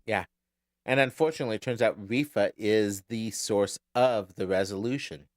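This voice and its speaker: A man's voice: fairly low pitch, moderate pace, no room sound, clean studio sound. A man co-hosting a podcast, chatting casually through an episode recap, often repeating words as he starts a thought. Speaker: podcast co-host.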